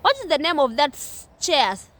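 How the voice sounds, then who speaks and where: High-voiced Kenyan woman vlogging. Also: sing-song, normal speed, a bit bright. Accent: Kenyan English. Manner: repetitive, earnest, many restarts. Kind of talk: casual talk to camera. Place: outdoors at a playground.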